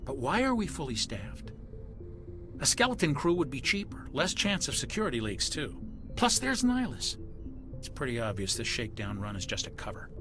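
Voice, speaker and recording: A wary male ship's officer acted by a man in a video game. He is respectful yet emphatic and suspicious, his mid-range voice rising and falling in lively swings. Background music is clearly present. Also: mid-range voice, moderate pace, natural voice.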